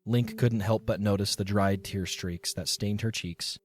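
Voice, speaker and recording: A man narrating a scripted dramatic story in a measured, descriptive storytelling manner. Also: on the low side, fairly even intonation, a little mellow.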